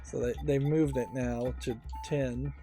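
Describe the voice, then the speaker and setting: A man's voice: medium pitch, level tone, measured pace. A man giving casual, musing game commentary as a let's play host, often hesitant and trailing off. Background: game music.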